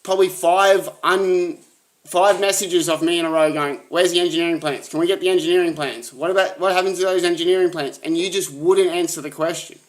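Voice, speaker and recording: A man's voice: high-pitched, steady tone, a little fast, rough recording. A man pressing his point in a heated argument, frustrated and repeating himself. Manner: insistent, frustrated, repetitive.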